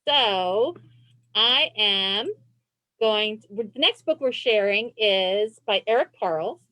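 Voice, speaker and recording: Lively, unhurried woman reading to children. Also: medium pitch, no echo, low-quality recording. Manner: expressive, encouraging reader. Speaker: librarian reading aloud. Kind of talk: children's picture book read-aloud. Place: indoors on a video call.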